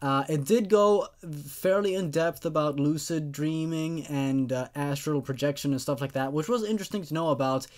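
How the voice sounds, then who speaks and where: A man hosting a casual online story review, talking to viewers in a halting, stammering way with repeated words. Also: fairly high pitch. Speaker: host of a horror story review show.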